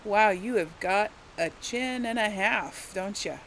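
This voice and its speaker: Musing woman gamer, medium pitch. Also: measured pace, a bit bright, slight background noise, low-quality recording. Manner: musing, thinking aloud. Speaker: gamer, let's play host. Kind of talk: casual game role-play commentary.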